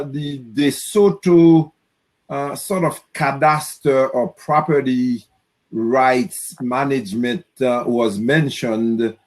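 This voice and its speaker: Slow, halting man from Haiti. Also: mid-range voice, a little mellow. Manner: slow and halting, many "uh" fillers. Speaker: audience member asking a question. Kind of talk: online Q&A question.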